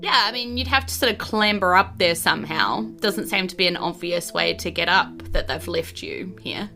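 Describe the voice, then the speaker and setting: A woman's voice: lowish voice, expressive, normal speed, vocal fry, sharp tone. A woman with a New Zealand accent improvising narration as game master of a tabletop game, conversational and descriptive. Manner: conversational, descriptive, hedging with kind of and sort of. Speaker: game master, podcast host. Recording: faint music behind the voice. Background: faint background music.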